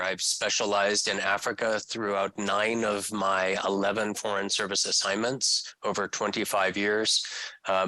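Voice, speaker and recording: A middle-aged man from California with a lowish, bright, forceful voice that stays flat in tone. He lays out facts in a steady, businesslike way. The sound is dry, coming over a video call. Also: no echo, rough recording. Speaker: career diplomat and panelist. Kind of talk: formal self-introduction.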